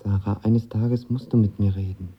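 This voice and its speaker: A man's voice: fairly low pitch, steady tone, normal speed, breathy edge, highs cut off. An actor playing a lovestruck, insecure young actor who tells of his first love in a radio drama, intimate and colloquial. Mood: yearning. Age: young adult. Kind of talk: radio drama acting and first-person narration.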